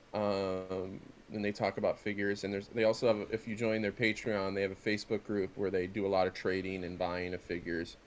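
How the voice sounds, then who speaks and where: A middle-aged man with a fairly low voice, talking in a loose, rambling, joking way as a collector on a casual podcast. There is noticeable noise in the room recording. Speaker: podcast co-host and wrestling figure collector. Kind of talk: casual chat in an unboxing video.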